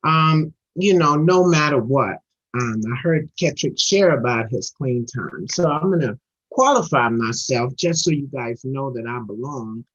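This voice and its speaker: A woman's voice: very deep voice, lively intonation, measured pace, husky. A middle-aged woman from Trinidad and Tobago, speaking as the guest at a recovery meeting and sharing her story earnestly, often repeating words as she gathers momentum. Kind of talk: personal recovery share. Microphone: video call. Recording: dull lo-fi video call audio.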